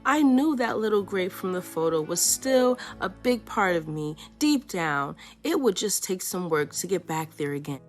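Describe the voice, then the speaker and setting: Give me a woman reading a picture book aloud, playful and expressive, with soft music under her. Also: lowish voice, normal speed, hardly any pauses. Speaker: children's book reader.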